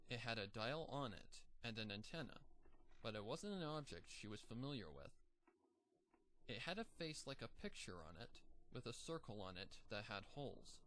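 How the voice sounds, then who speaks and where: A man narrating a fan story aloud at a steady, even storytelling pace. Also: medium pitch, a little hiss or hum.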